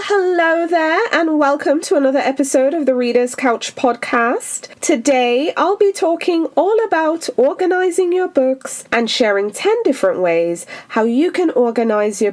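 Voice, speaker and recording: A woman hosting a solo podcast about books, chatty and upbeat, sounding a little stuffy from a cold. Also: sits high. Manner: chatty and upbeat, lively rises. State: stuffy, under the weather. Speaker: podcast host.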